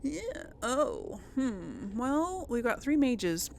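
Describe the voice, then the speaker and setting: A woman's voice: on the high side, very animated, very slow, vocal fry, a little mellow, slight background noise. A woman hosting a let's play, commenting casually on a game and hesitantly thinking aloud.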